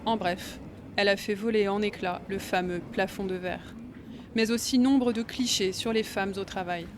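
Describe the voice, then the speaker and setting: A woman's voice: medium pitch, steady tone, normal speed, noisy background. A woman reading a scripted podcast introduction as its narrator. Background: music.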